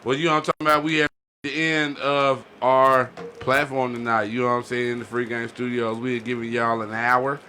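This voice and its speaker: A man's voice: medium pitch, flat delivery, fairly quick, bright, forceful tone, slight background noise. A man hosting a podcast in casual, slangy talk, peppering his sentences with repeated check-in phrases like "you know". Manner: repeats "you know what I'm saying" tags. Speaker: podcast host. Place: podcast studio.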